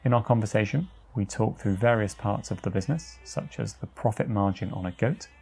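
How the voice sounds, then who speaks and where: A man's voice: fairly low pitch, a little fast, creaky, darkish tone, breathy edge. A man hosting a podcast, moving between scripted introductions and interview questions. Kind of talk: scripted narration and friendly interview.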